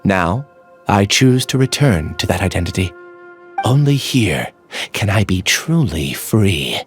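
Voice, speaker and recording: An actor playing a quiet young male researcher who calmly and earnestly explains why he is joining a hive mind. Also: low-pitched, measured pace. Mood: resigned. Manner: calm, earnest, explaining himself. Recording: faint game music behind.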